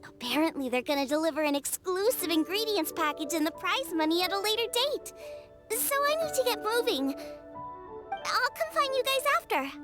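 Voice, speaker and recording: A woman's voice: very high-pitched, big pitch swings, moderate pace. A woman voicing a bubbly, earnest young girl chef in an anime-style game, eager and sincere. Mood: bright, cheerful. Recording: music behind the voice.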